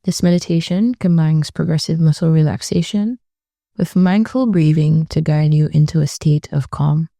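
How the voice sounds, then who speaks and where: A woman leading a guided meditation in a gentle, soothing way, speaking slowly and leaving long pauses between phrases. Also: low voice, creaky, dry recording, clean studio sound.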